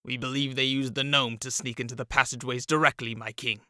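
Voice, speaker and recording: A man voicing a stern male goblin captain who reports deferentially in a low, clipped voice. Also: level tone, fast talker, pushed and bright, no echo, clean studio sound.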